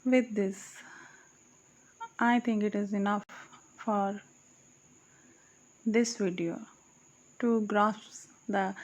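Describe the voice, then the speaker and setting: A woman's voice: medium pitch, fairly even intonation, drawn-out words, pauses often, darkish tone, poor audio. A woman reading archaic verse aloud as an audiobook, in a solemn recitation that stops to correct her own slips. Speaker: audiobook reader.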